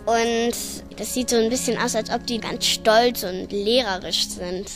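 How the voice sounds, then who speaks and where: A woman's voice: on the high side, unhurried, faint music behind, poor audio. A young female speaker casually recounting things in simple words.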